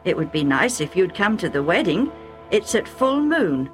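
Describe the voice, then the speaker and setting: A woman's voice: fairly low pitch, big pitch swings, moderate pace, faint music behind. A woman voicing a sheepish young eldest son who stammers as he explains himself. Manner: stammering and defensive.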